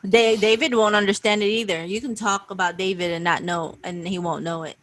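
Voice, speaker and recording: A Filipino woman with an accent hosting a casual podcast chat, upbeat and quick to laugh. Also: mid-range voice, fairly even intonation. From Philippines. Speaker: podcast host. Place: video call.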